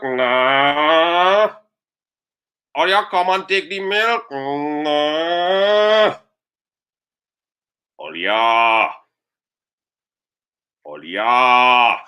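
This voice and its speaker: A man's voice: a little high, sharp tone, clean tone, voice pushed up, full character voice. A man voicing a whiny, exasperated Trinidadian cow that complains and begs to be milked, with a Trinidadian accent. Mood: insistent whining. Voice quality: whining.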